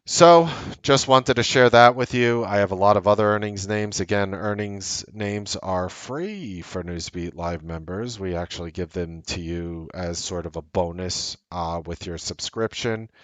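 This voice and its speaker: A man's voice: low voice, a little slow, few pauses, a bit bright, no room sound. A man of about forty talks straight to the viewer. He presses his points hard and repeats them in an earnest, salesy way. The sound is close and dry, with no room behind it. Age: late 30s. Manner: emphatic, repetitive, salesy and earnest. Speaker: trading show host. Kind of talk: direct-to-camera market commentary.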